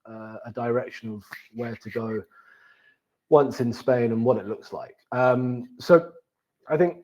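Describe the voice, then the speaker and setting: A man's voice: medium pitch, unhurried, darkish tone, dull audio. A man with a southern English accent giving an informal webinar presentation in a conversational way, now and then repeating words as he goes. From Cambridge, England. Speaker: wealth manager presenting as guest. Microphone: online webinar call.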